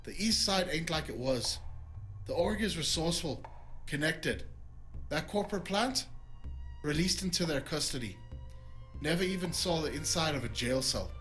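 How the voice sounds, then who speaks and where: A man's voice: on the high side, fairly bright tone. A man playing a desperate yet defiant corrupt cop who is cornered, in a noir audio drama. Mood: bitter resignation. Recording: faint music behind the voice.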